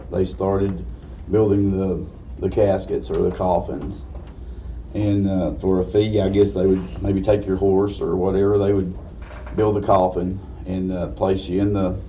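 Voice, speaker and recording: Man, slightly raspy, dark tone, halting folksy lecture, dull recording with faint noise. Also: sits low. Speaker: guest lecturer. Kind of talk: informal history lecture. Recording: distant mic, dull muffled sound, faint noise.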